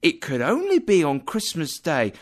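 A man's voice: sits high, very animated, slow, pitched above the performer's own voice, full character voice. A man voicing an indignant working-class mother who speaks with scorn and heat. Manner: scornful, heated.

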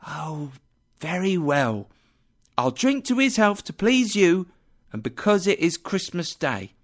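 A man's voice: sits high, sing-song, takes it slowly, pitched above the performer's own voice, heavily acted. A man doing a fiery, indignant mother. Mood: grudging. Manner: scornful, heated. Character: indignant working-class mother, sharp-tongued.

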